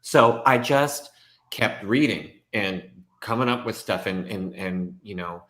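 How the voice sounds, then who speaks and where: A man, a stage actor and guest, talking through his work in a casual, animated way. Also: lowish voice, slow pace. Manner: animated storytelling, reenacts his own thoughts. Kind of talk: casual conversation. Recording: echoey room.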